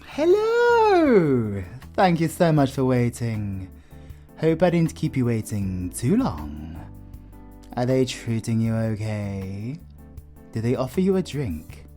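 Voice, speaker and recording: Suave British vampire lord, animated, acted by a man. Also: mid-range voice, slow, faint music behind. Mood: gracious, hospitable. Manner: theatrical, genteel, playfully teasing. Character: suave, flirtatious English vampire lord, courteous and teasing. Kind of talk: flirtatious audio roleplay addressed to the listener.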